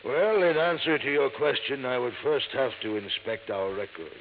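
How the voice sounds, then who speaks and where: A man's voice: medium pitch, normal speed, lightly acted. An actor playing a pompous, courtly businessman in a 1950s radio drama, speaking in a florid, formal way. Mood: formal, careful. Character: courtly, pompous male vault company owner. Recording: tinny, noisy old radio recording.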